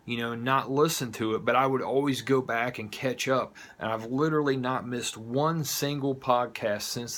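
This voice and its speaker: Male online show host, Southern US accent, mid-range pitch, unhurried, informal heartfelt delivery. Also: fairly bright tone. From United States, not from the South. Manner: informal, blunt, occasional swearing. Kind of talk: casual heartfelt monologue to viewers.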